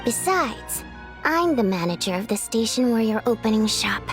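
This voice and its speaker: A woman's voice: fairly high pitch, lively intonation, normal speed, lightly acted. A woman playing a capable, matter-of-fact young station manager in a video game, composed and assured. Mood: breezy, assured. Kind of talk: animated video game voice acting. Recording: faint music behind the voice.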